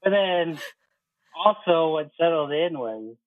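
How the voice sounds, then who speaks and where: A man's voice: on the high side, expressive, slow, no room sound. A man in his thirties telling his own story casually as an interview guest, conversational and retelling exchanges in quoted speech, over a muffled phone-like line. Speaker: interview guest, air traffic controller.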